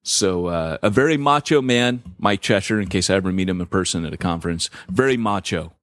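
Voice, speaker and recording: A man co-hosting a podcast in casual banter, joking and self-deprecating, with a deep voice and lots of filler words. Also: brisk, fairly bright tone, dry recording. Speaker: podcast co-host.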